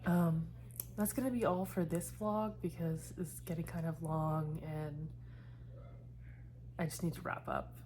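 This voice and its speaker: A woman's voice: deep voice, fairly even intonation, a little slow, pauses often, a little mellow, a little hiss or hum. A woman in her thirties chatting casually in a book vlog, meandering and trailing off as she talks. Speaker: book vlogger. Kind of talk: casual chat.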